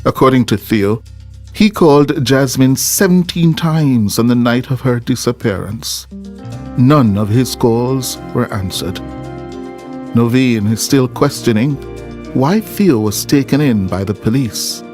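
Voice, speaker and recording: A man's voice: medium pitch, a little slow, darkish tone. A man reading scripted documentary narration as a reporter. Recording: music bed under the voice. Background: background music.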